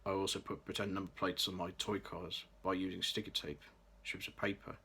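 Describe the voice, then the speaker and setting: Low-voiced young man, bright tone. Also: little pitch movement, fairly quick, gravelly, a little hiss or hum. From Birmingham, England. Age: late 20s. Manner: careful, read-aloud delivery with occasional restarts. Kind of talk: scripted personal vlog.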